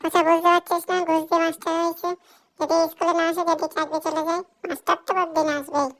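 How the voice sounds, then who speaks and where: Cheeky cartoon schoolkid, extremely high squeaky voice, whiny, boastful, exaggerated comedy delivery. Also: level tone, fast, fairly bright tone, poor audio. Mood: grumbling, mocking. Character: cheeky schoolchildren, lazy, boastful, whining to their teacher.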